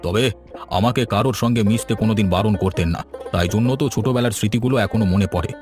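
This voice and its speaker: A man's voice: on the low side, quick pace, over music, close to an everyday voice. A man playing a nostalgic, folksy old village husband in a cartoon, often complaining. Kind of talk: cartoon dialogue.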